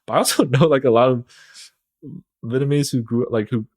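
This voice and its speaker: A man's voice: medium pitch, fairly quick, darkish tone, no room sound. A young man chatting casually as a podcast guest, rambling with many fillers and laughs. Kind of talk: casual interview chat.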